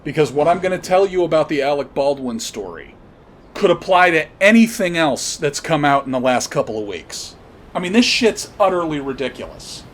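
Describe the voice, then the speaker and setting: Higher-voiced man ranting over noticeable background noise. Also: expressive. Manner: emphatic, halting, repeats phrases for stress; blunt, profane. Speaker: podcast host. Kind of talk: unscripted political commentary rant.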